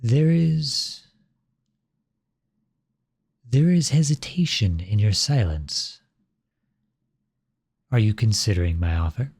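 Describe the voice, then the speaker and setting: Guy playing a smooth-talking royal flirt for asmr roleplay, low mellow voice, courtly and flattering. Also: slow, long pauses, no room sound, clean studio sound, heavily acted. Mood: earnest, coaxing. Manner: courtly, formal, theatrically flattering speech. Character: flirtatious, silver-tongued royal man at a masquerade ball. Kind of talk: ASMR roleplay, scripted romantic monologue addressed to listener.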